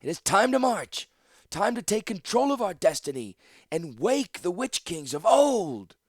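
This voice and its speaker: A man voicing a fierce, zealous female warlord with forceful, declamatory speech in a fantasy audiobook. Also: sits high, big pitch swings, measured pace, dry recording, clean studio sound, voice pushed up, full character voice. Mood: impassioned, defiant.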